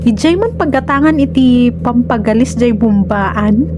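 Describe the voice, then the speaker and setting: A woman's voice: on the high side, lively intonation, measured pace, muffled recording, over music. A woman playing a flirty, teasing neighbour in a radio comedy drama, with a coy manner and an Ilocano accent.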